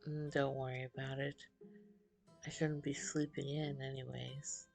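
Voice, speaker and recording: A woman voicing a quiet, anxious, self-conscious young traveller in a story, speaking in a shy, hesitant way. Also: rumbling low, flat delivery, unhurried, vocal fry, soft background music, exaggerated voice. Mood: sleepy, polite. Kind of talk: storybook character voice.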